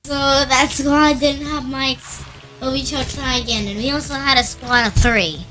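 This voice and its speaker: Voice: high-pitched, steady tone, a little slow, low-quality recording. A gamer with a woman-like voice through a voice changer, chatting with teammates and commentating while playing. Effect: voice changer raising the voice. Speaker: gamer recording a trolling video. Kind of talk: gaming commentary and chat with teammates. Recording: loud music behind.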